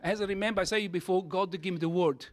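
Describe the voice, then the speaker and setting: A man with an Italian accent, his first language Italian, tells a church testimony in English. He talks in halting bursts, with many fillers and restarts. His voice sits a little high for a man. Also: steady tone. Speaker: church speaker giving testimony. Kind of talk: testimony sermon.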